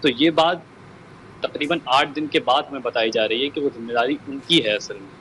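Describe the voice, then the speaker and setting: A man's voice: mid-range voice, normal speed, fairly bright tone, low-quality recording. Guy being interviewed, mid voice, mixes in english words, bit of noise behind him. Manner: code-switches into English words. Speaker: bereaved relative being interviewed. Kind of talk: interview appeal, measured account. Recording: faint background noise.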